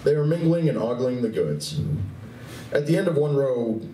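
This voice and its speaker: Man as wry sci-fi comedy narrator, mellow and measured, echoing from a distance. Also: medium pitch, animated, lightly acted. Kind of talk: scripted comic narration at a live radio play. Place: convention event room. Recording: echoey distant live room, faint noise.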